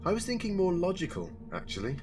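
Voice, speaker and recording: A man's voice: high-pitched, huge pitch swings, quick pace, noisy background. A man playing a defiant, sardonic time-travelling alien hero in a sci-fi audio drama, challenging and wry in his delivery.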